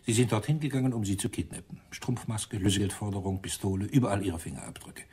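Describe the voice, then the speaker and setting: Husky, low-voiced police inspector. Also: male voice, quick pace, mellow. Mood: sardonic, menacing. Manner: sardonic, gruff. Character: gruff, sarcastic male police inspector with short patience. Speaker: actor in a crime radio play. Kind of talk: German radio drama acting.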